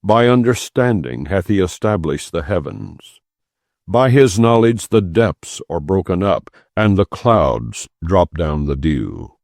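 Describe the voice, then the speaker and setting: A man reading scripture as an audiobook narrator, in a solemn, measured and deliberate way with emphatic phrasing. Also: sits low, animated, a little mellow, dry recording, studio quality.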